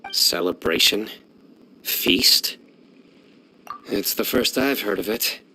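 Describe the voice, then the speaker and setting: A man playing a stern, stoic young general in an anime game, terse, reserved and deadpan. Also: lowish voice, takes it slowly, heavily acted, low-quality recording. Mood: puzzled.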